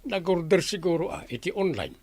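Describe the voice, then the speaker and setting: Creaky, folksy Ilocano village captain. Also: male voice, fairly high pitch, huge pitch swings, a little fast, a little mellow, slight background noise. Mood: musing. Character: folksy male village captain in a comedy drama. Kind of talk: radio comedy drama acting.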